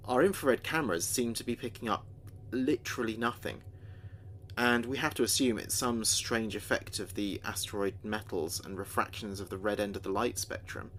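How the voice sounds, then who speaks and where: A man playing an earnest space communications officer, recording logs in a measured, thoughtful way for a sci-fi audio drama. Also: mid-range voice, fairly bright tone, a little hiss or hum, close to an everyday voice. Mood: awed, thoughtful. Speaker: communications officer keeping a mission log. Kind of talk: audio drama log narration.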